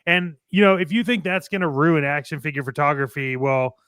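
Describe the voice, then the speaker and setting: A man's voice: a little high, fairly even intonation, a little fast, fairly bright tone, clear, resonant tone, no room sound, clean studio sound. A man co-hosting a show, giving casual opinions in a blunt, emphatic way with some swearing. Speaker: co-host of a toy collecting show.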